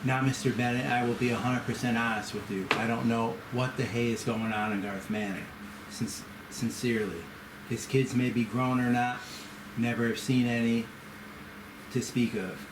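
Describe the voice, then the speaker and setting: A man's voice: mid-range voice, steady tone, normal speed, echoey room, background noise, lightly acted. A man playing a courteous, folksy elderly Southern office boss with a drawl in a screenplay table read. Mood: earnest, hushed dealings.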